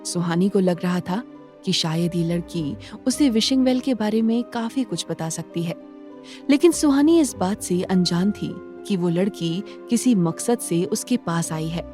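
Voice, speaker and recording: A woman's voice: lowish voice, expressive, measured pace, mellow, faint music behind. A woman narrator reading a scripted story in a dramatic storytelling style.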